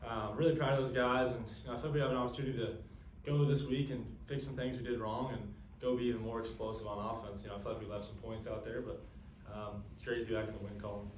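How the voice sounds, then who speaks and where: Fast-talking young man, echoey press room. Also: medium pitch, flat delivery, recorded from a distance, a little hiss or hum. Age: young adult. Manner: quick, earnest, lots of fillers. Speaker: college quarterback. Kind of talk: postgame press conference answers. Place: press room, seated at a table. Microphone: table mic, camera at a distance.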